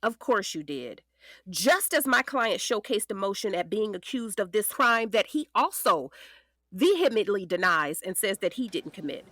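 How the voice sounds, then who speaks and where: An actress playing a determined defense attorney, questioning in a deliberate, emphatic courtroom manner for an audio drama. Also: female voice, medium pitch, big pitch swings, a bit bright, no echo, clean studio sound. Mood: persuasive. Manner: deliberate, emphatic cross-examining. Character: determined female defense attorney, pointed and composed.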